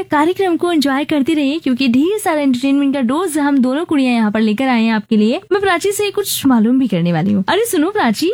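A woman's voice: sits high, darkish tone, dry recording. A woman hosting an FM radio show, chatting cheerfully and mixing English words into her talk. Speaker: radio host. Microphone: studio mic.

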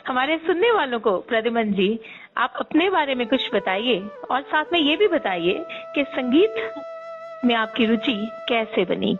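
Host: woman radio presenter, fairly high voice, polished, thin phone-like sound. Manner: polished, frequent affirming interjections. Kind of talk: radio interview hosting. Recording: tinny phone-like radio sound, faint music.

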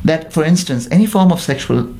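A man's voice: on the high side, expressive, a little fast, dark tone, slight background noise. A man on a discussion panel, explaining his points at length and steering the talk back to the topic.

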